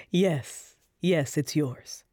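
A woman's voice: low-pitched, dark tone, no echo, clean studio sound, exaggerated voice. A woman playing a smug, mysterious wife and mother with a coy, teasing manner in an audio drama.

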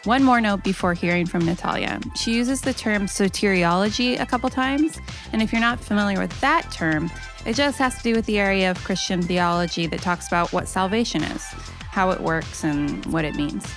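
A woman's voice: mid-range voice, normal speed, soft background music. A woman host introducing a guest in a friendly, explanatory scripted segment. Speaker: podcast host.

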